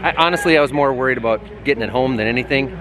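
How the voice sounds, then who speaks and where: A man's voice: mid-range voice, racing. Man vlogging amid an auction crowd. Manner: relaxed, thinking aloud, explaining to viewers. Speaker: farmer vlogger. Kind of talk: casual commentary at an auction. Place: outdoor farm auction yard. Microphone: handheld camera close to face. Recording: crowd noise outdoors. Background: crowd of bidders.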